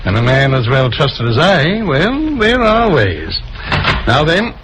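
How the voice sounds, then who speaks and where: A man's voice: a little high, wildly swooping pitch, slow pace, full character voice. An actor playing a smug, patronizing male spy boss in a mid-century radio crime drama. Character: smug male villain, patronizing leader of secret spy ring. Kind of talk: 1940s-50s radio crime drama acting. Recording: noisy old radio recording.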